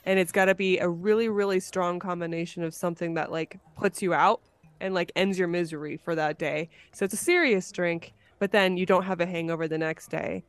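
A woman with a slightly low voice chats as a podcast host, recorded close and dry. Her way of talking is informal and easygoing. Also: steady tone, brisk, clean tone, no room sound. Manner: informal, laughs easily. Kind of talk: casual chat.

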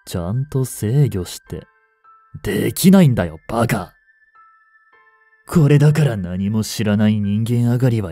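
A man acting a gruff, low vampire big brother. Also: warm tone, no echo. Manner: blunt, rough-spoken, bossy. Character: gruff, scolding vampire older brother, restraining his hunger. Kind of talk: Japanese romance voice drama acting.

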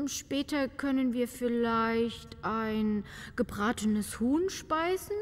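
A woman's voice: fairly high pitch, drawn-out words, long reverb tail. A woman playing a haughty, easily flustered countess with affected politeness in a comic fairy-tale radio play.

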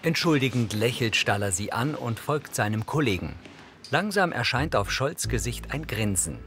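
A man's voice: medium pitch, expressive, moderate pace, fairly bright tone, a little hiss or hum. A man reading scripted audio description narration in a neutral, precise manner.

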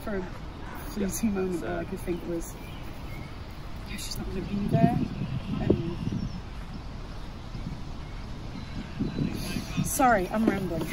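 A woman's voice: mid-range voice, animated, a little slow, pauses often, natural voice. A young woman acting a hesitant, grieving daughter in a naturalistic audio drama, searching for words. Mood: sad, reflective. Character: grieving young woman who lost her mother years ago. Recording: noisy outdoor urban ambience.

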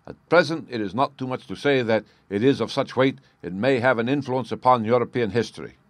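A man's voice: medium pitch, brisk, dry recording, pitched above the performer's own voice, lightly acted. A man voicing a proud, agitated king of about thirty in an audiobook story, with a formal, grandiose and emphatic manner. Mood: imperious. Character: proud agitated thirty-year-old nobleman, a masked Bohemian king.

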